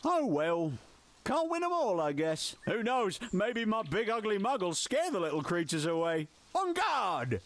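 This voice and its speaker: A whimsical gardener creature from a fantasy video game. The voice is high and leaps all over in a sing-song, jovial way. It sounds homely, eccentric and good-hearted. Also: male voice, huge pitch swings, unhurried, a bit bright, heavily acted. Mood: cheerfully resigned.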